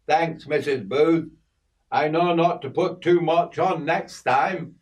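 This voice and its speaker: A man's voice: a little high, slow, recorded from a distance, pitched above the performer's own voice. A man voicing a polite young English farmhand from Yorkshire.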